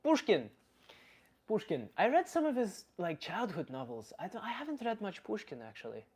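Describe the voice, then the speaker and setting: A man playing a blunt Slavic uncle, philosophizing in halting, casual livestream talk and answering chat comments. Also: sits high, wildly swooping pitch, a little mellow, breathy edge, full character voice. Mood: casual, opinionated. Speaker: livestream host.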